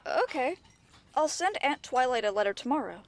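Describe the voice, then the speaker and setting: A woman voicing an eager, curious young pony princess in an amateur cartoon audio drama, excitable and full of questions. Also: sits high, normal speed, no room sound. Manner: excitable, inquisitive.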